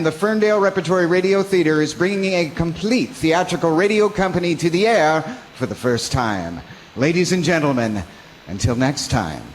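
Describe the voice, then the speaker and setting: A man's voice: fairly high pitch, a little hiss or hum, rough recording. A man announcing a radio drama in a theatrical, formal showman's style like old-time radio.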